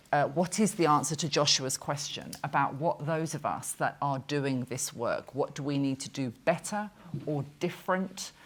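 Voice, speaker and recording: A woman moderating a panel discussion, brisk and engaged as she invites views from the room. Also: deep voice, slight background noise.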